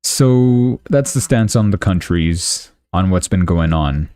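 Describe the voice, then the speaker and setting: A man's voice: low voice, animated, takes it slowly, warm tone, no echo. A young man from Canada hosting a live stream, talking through world news casually and reading official statements aloud, with asides and self-corrections.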